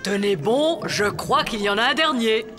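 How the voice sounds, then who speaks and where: A woman voicing a calm, firm animal midwife in a children's cartoon, giving orders and reassurance. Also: on the high side, moderate pace, over music, lightly acted. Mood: reassuring. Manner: directive, reassuring.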